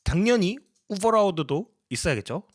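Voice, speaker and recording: Man, higher-pitched voice, very varied intonation, polite step-by-step tutorial narration. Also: big pitch swings, fairly quick, heavy background noise. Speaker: sound designer presenting a tutorial. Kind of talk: casual explanatory tutorial narration.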